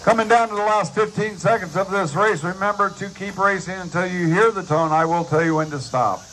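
A man's voice: high voice, a little fast, fairly bright tone. A man calling a live race as the announcer at an outdoor dirt track. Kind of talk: live race commentary over a PA. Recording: noisy outdoor track recording.

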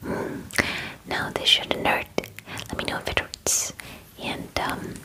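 A woman in her twenties speaking in a soft, breathy whisper close to the mic, gently and soothingly playing an attentive spa groomer in an ASMR roleplay, often repeating words. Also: takes it slowly. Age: 20s. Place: small dim room, indoors. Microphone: very close microphone, facing camera.

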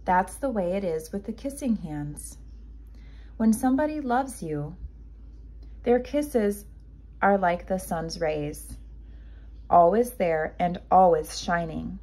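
A woman voicing a gentle, loving mother raccoon in a children's storybook, soothing and patient as she reassures. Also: on the low side, animated, takes it slowly, long pauses. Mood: tender explaining. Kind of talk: children's storybook read-aloud.

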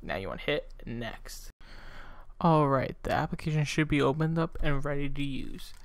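A young man casually narrating a software tutorial as its host, close on a desktop microphone. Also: a little high, animated, moderate pace, vocal fry, no echo. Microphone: close USB desktop microphone.

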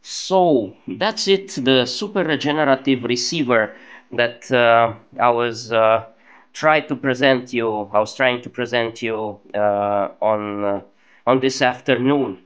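Male hobbyist presenter, mid-pitched, unhurried and halting, casual demo recorded on a phone indoors. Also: fairly bright tone, clear, resonant tone, lo-fi sound. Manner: halting, searching for words. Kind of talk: casual improvised demonstration of a homemade radio. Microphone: phone held over the workbench.

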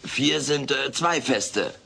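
Casting: wisecracking alien houseguest, bright forceful voice, theatrical and cheeky, sitcom audio drama. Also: male voice, mid-range voice, takes it slowly, gravelly, a little hiss or hum, exaggerated voice. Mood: jovial, showy. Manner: theatrical, cheeky, mock-grand delivery. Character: wisecracking, meddling furry alien houseguest, male. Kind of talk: dubbed sitcom audio play acting.

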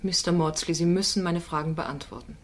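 A woman's voice: on the low side, steady tone, brisk, a little hiss or hum, natural voice. A woman playing a firm, probing female defence lawyer in a crime radio play, with insistent, pointed questioning. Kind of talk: German radio drama acting.

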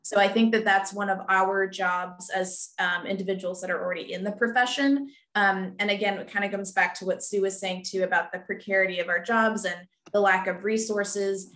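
A woman archivist speaks in a measured, earnest way on a video call panel. Her voice sits at an ordinary middle pitch. The room around her adds a clear echo. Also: steady tone, a bit bright.

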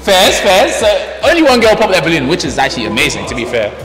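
Fast-talking young man hosting a game show. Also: on the high side, wildly swooping pitch, a bit bright. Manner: teasing, quick, repeats words for effect. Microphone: handheld mic. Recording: roomy studio echo with music.

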